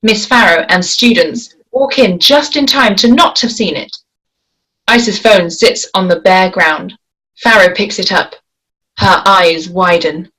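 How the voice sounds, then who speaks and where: A woman reading the stage directions at a script table read in a plain, measured way. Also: medium pitch, fairly bright tone, poor audio.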